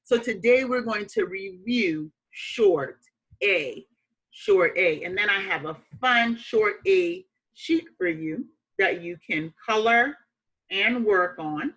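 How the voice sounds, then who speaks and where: A woman's voice: medium pitch, a bit bright, poor audio. A woman teaching a phonics lesson to young children as their teacher, using simple phrases, repeating points and asking questions. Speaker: elementary school teacher.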